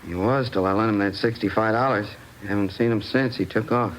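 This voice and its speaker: An actor playing a nervous, evasive American cab driver under police questioning in a 1950s radio crime drama. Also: male voice, fairly low pitch, fairly even intonation, a little fast, lightly acted, low-quality recording. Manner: evasive, slangy, ingratiating. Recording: vintage radio recording, faint hiss.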